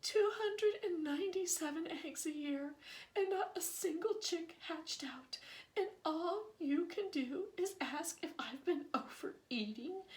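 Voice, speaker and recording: A woman voicing Missus Crow, a frantic, tearful female crow who wails her complaints. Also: darkish tone. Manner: shrill and wailing.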